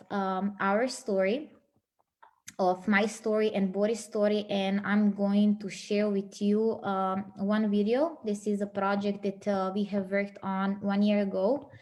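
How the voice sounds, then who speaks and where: A woman giving a motivational speech, earnest, with pauses between phrases. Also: mid-range voice, darkish tone. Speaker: motivational speaker.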